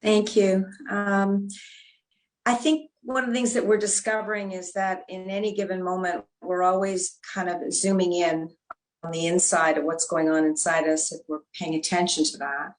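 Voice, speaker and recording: Earnest woman with a low voice. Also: flat delivery, moderate pace, poor audio. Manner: earnest, thoughtful, speaks in long flowing sentences. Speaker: panel speaker. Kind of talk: reflective panel talk.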